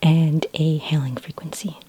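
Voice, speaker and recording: A woman speaking in a soft, breathy whisper as a friendly, patient librarian in a gentle ASMR roleplay. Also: low-pitched, steady tone, background noise. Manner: gentle, unhurried, helpful customer-service patter. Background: computer keyboard typing.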